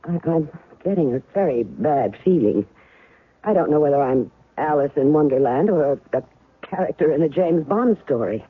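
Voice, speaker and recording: An actress playing a wary, sharp-tongued court stenographer in a 1970s radio drama, with quick retorts and nervous stammers when rattled. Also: female voice, low voice, expressive, slightly rough, no echo. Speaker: first-person narrator and lead character. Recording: tinny, lo-fi old radio broadcast with faint hiss.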